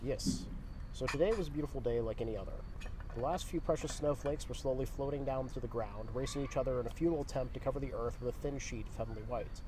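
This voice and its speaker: A man's voice: medium pitch, fast. A man reading a humorous story aloud with casual asides, recorded outdoors on location. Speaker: reader in a group read-along. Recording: outdoor location with faint background noise. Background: faint outdoor ambience and traffic noise.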